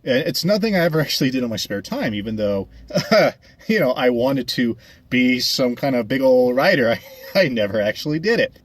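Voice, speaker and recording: A man's voice: medium pitch, sing-song, normal speed, dry recording. A young adult man talking casually over a speedpaint video, chatty and rambling with many fillers. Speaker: animator and vlogger.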